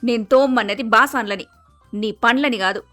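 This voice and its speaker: A woman's voice: fairly high pitch, fairly quick, pushed and bright, faint music behind. A woman playing a bossy, grumpy middle-aged mother-in-law with a Telangana Telugu accent, blunt and scolding, in a story cartoon. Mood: exasperated, scolding.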